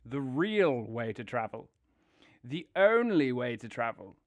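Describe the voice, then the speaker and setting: A man's voice: mid-range voice, very animated, measured pace, dry recording. A man playing a vain, dreamy toad with a theatrical, gushing manner in an audio drama. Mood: dreamy rapture. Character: vain, rapturous male toad, dreamy and car-obsessed.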